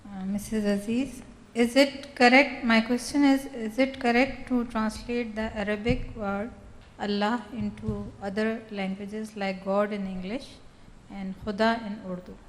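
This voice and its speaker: A woman from the audience asking a careful, slightly halting question. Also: medium pitch, steady tone. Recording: reverberant hall with faint background noise.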